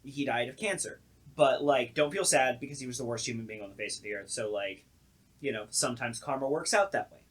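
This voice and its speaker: A woman's voice: extremely low pitch, a little fast, breathy edge. A woman hosting a casual chat with a dry, joking manner. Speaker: host.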